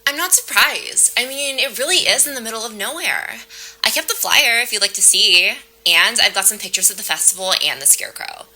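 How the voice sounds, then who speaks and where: Jittery haunted woman, high bright voice. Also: a little fast, full character voice, poor audio. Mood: chatty. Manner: nervous, rambling, expressive. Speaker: actor in an audio play. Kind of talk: modern naturalistic audio drama.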